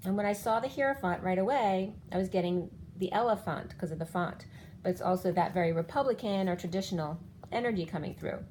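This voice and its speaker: A woman's voice: on the low side, fairly quick, a little mellow, a little hiss or hum, poor audio. Fifty-something woman reading tarot, fading voice. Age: 50s. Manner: rambling, explaining cards one by one. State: losing her voice. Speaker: tarot reader. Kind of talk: spiritual tarot reading monologue.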